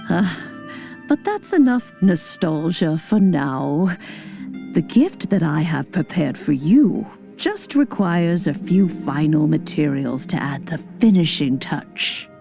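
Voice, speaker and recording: A woman playing an elderly, kindly immortal in a video game, speaking in formal, old-fashioned phrases with gentle authority. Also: low voice, big pitch swings, slow pace, vocal fry, music in the background, heavily acted. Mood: nostalgic, stately. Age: older. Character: elderly kindly female adeptus, nostalgic and gently commanding. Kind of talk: scripted video game acting.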